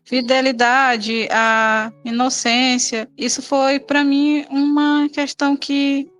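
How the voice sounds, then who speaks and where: A woman giving reflective, casual commentary in thoughtful, halting phrases. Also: high voice, flat delivery, fairly bright tone, clean tone, faint music behind.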